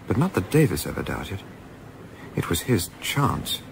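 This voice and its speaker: A man playing a reflective English gentleman narrator of a sailing adventure in a radio play, with measured, literary, wry phrasing. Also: low-pitched, big pitch swings, gravelly, a little hiss or hum, full character voice.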